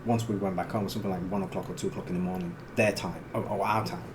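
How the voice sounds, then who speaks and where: Low-voiced man brainstorming casually. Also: steady tone, fast talker, background noise. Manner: loose, filler-heavy brainstorming, many "like"s. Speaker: co-host of a live Q&A stream. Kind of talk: casual live-stream chat.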